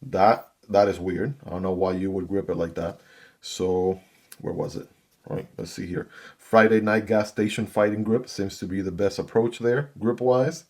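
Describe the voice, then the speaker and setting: A man's voice: lowish voice, fairly even intonation, normal speed, darkish tone. A man hosting his own gear channel, chatting casually to viewers as he unboxes and reviews a knife. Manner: chatty, talks straight to viewers.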